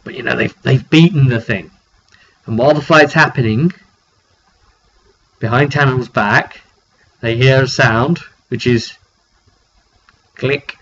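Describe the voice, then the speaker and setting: A man's voice: mid-range voice, measured pace, creaky, pauses often, a bit bright. Dull, lo-fi male game master storytelling. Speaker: game master recounting a session. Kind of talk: casual storytelling of a tabletop roleplay session. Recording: dull lo-fi home recording, faint background noise.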